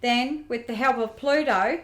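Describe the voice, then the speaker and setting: Woman playing a high-voiced young grifter, echoey. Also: unhurried, natural voice. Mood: candid storytelling. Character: candid young female grifter, orphaned, streetwise and resilient. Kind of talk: radio play acting. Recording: roomy, echoey amateur recording.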